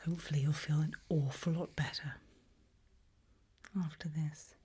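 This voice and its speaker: A woman softly whispering a medical exam roleplay in ASMR style, giving gentle, deliberate instructions with pauses. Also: sits low, fairly even intonation. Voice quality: soft breathy whisper. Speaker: ASMR performer playing an examiner.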